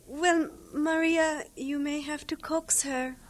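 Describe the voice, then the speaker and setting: Drama casting: curious, kindly married friend, high-pitched, faint noise in the recording. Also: female voice, fairly even intonation, slow pace. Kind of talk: audio drama acting.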